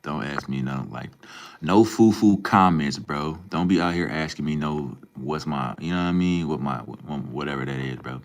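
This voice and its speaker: A man's voice: very deep voice, normal speed. A young man from Minneapolis, livestreaming and chatting casually with viewers, laid-back and full of slang. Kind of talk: casual live stream chat, reading and answering viewer comments.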